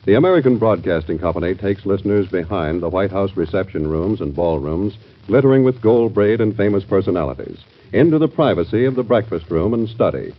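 A man reading a scripted introduction as a stately, earnest 1940s radio announcer. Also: low voice. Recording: dull old radio recording.

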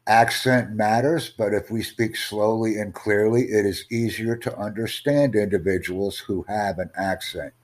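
Formal, deliberate male tutor. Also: fairly low pitch, fairly even intonation. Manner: slow, formal, deliberate, asks rhetorical questions. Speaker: IELTS tutor and podcast host. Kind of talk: teaching podcast Q&A.

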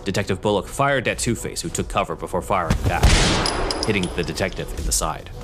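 A man narrating an audio drama in a steady, measured storytelling manner. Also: low voice, very noisy.

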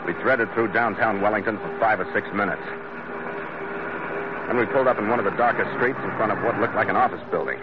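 A man acts a hard-boiled ship's skipper in vintage radio adventure. His voice is fairly low, gruff and wry. The recording is muffled with clear background noise. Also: fast talker, lightly acted. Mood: tense narration. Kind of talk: old-time radio drama acting and narration.

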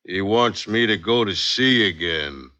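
An actor in an old-time radio drama playing a big, gullible, slow-witted sailor thug with halting, blunt speech. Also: male voice, fairly low pitch, expressive, sharp tone. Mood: bashful simple. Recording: noisy vintage radio recording.

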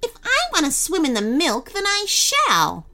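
A woman's voice: high voice, huge pitch swings, unhurried, bright, forceful tone, soft background music, voice pushed up, heavily acted. A woman voicing a snooty, abrupt female cookie who snaps rudely in a children's story. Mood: defiant. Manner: snooty, snappish.

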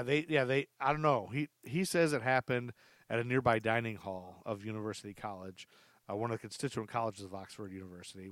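A man with a mid-pitched voice hosts a podcast in a casual, comic storytelling style. He is chatty and amused and likes to repeat a fact for emphasis. The sound is dry and close. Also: fairly bright tone, no echo. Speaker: podcast host.

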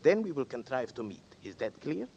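Smug taunting blackmailer, sing-song up and down, muffled old radio sound. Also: male voice, medium pitch, very animated, fairly quick, creaky, dark tone, a little hiss or hum. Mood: scornful. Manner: taunting, theatrical, mock-polite. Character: sly, mocking male blackmailer, scornful and smug. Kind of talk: 1940s radio drama acting. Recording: dull 1940s radio broadcast.

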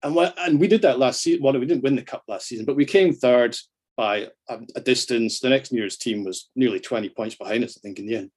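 A man's voice: medium pitch, brisk, a little mellow, breathy edge. A middle-aged Scottish man chatting casually about football as a podcast guest, self-deprecating and happy to swear. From Scotland.

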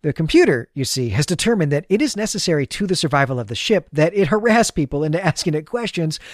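A man hosts a podcast recap in a slightly higher-than-average voice. His intonation is lively and moves around a lot as he tells a story conversationally. The sound is close and dry. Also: no echo. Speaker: podcast host.

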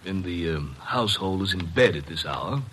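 Stiff grim butler, low husky male voice, formal, old radio play. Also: animated, moderate pace, pushed and bright, heavily acted. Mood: deferential. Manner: formal, curt. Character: stiff, forbidding, deferential household butler. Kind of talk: old-time radio drama acting.

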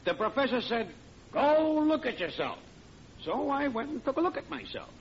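High-voiced, pompous American factory worker. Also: male voice, big pitch swings, moderate pace, full character voice. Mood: preachy. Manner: pompous, mangles big words. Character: needling working-class factory co-worker, smug and self-improving. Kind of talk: 1940s radio comedy acting. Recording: noisy, dull vintage radio broadcast.

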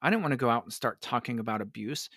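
A man, a pastor, answering interview questions in an earnest, reflective way, his voice worn hoarse by allergies. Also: mid-range voice, lively intonation, quick pace, a bit bright, no echo, studio quality. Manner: earnest, reflective, many restarts. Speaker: pastor and advocate.

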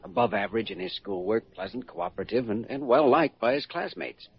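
An actor in an old-time radio drama playing an earnest, caring young doctor, thoughtful and polite. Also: male voice, medium pitch, very animated, brisk, gravelly, husky, full character voice. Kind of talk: 1940s radio acting. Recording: dull, muffled old radio recording.

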